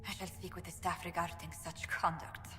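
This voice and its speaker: Game ambassador: tactful, considerate formality, modestly low pitch, long room reverb. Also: female voice, little pitch movement, a little fast, pushed and bright, faint music behind. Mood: concerned. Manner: polite, formal, careful. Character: courteous, diplomatic, concerned female ambassador. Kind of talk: dramatic video game dialogue.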